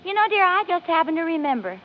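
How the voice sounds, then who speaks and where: A woman's voice: very high voice, big pitch swings, fast talker, loud music behind the voice. A woman acting a wry, affectionate, teasing wife in a 1940s radio comedy. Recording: dull old radio recording.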